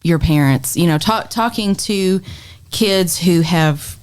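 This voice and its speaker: A mother on a podcast recounting a family moment in relaxed conversation, thoughtful and halting, with many fillers. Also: lowish voice, clear, resonant tone. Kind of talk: casual chat, storytelling.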